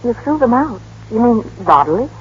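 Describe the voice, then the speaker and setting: An actress playing a soft-hearted, wistful young American woman in a vintage radio drama, speaking in a gentle, probing way. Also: on the high side, big pitch swings, moderate pace, breathy edge, full character voice. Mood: uneasy, subdued. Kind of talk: 1930s-40s radio drama acting. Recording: dull, noisy old radio broadcast.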